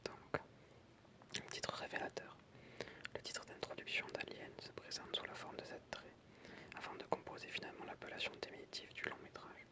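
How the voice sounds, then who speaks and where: An ASMR creator whispering in French, reading aloud softly with informal, hesitant asides. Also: normal speed.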